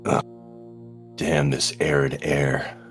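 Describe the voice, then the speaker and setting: Man playing a slow, deep-voiced grumbler. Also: flat delivery, soft background music. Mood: grumbling, musing.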